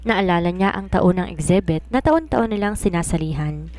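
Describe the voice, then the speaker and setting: A Filipino woman reads a romance novel aloud as a storyteller. Her voice sits a little low for a woman, and she follows the script in narration style. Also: animated, moderate pace, a little mellow.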